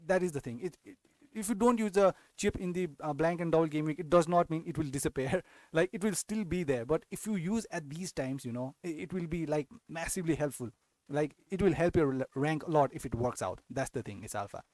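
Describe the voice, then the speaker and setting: A man's voice: a little high, brisk, a little mellow, dry recording. A young man from Nepal hosting a casual fantasy football live-stream Q&A, often restarting sentences and adding tag questions. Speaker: fantasy football channel host.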